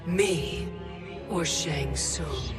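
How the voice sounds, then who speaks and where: A woman's voice: low-pitched, very slow, heavily acted. A woman playing a haughty, commanding Titan of time in a video game cutscene, speaking formally and with menace. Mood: haughty, probing. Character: imperious female Titan, keeper of time, haughty villain. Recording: loud music behind.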